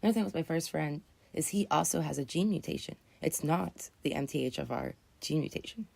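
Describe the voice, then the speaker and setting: A woman in her twenties, raspy and just woken up, rambling earnestly and correcting herself as she hosts a casual live chat. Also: sits low, expressive, a little fast, dark tone, no room sound. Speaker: livestream host.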